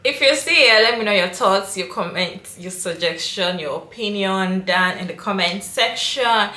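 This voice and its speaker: A woman's voice: medium pitch, normal speed, pushed and bright. Opinionated woman host in a roomy space. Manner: opinionated, questioning, emphatic. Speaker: video host and commentator. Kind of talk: casual commentary to viewers.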